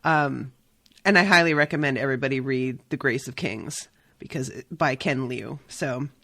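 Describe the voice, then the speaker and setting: A woman hosting a podcast, chatting casually about a book with quick, irreverent asides and the odd swear word. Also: rumbling low, fairly bright tone, dry recording, clean studio sound. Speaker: podcast host. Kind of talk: casual chat.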